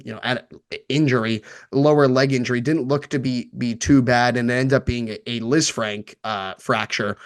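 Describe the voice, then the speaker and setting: A man giving conversational sports radio analysis as a commentator, halting and repeating words as he thinks. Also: medium pitch.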